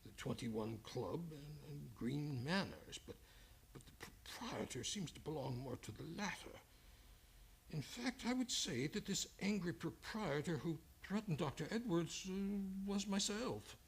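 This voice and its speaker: An actor performs a menacing, cunning older male psychiatrist in a radio thriller. His voice is very husky and gravelly, with pitch that swings widely. He picks his words with condescending care, hesitating and repeating himself like a cornered killer. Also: lively intonation, a little slow, heavily acted. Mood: guarded, musing. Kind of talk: old-time radio drama acting.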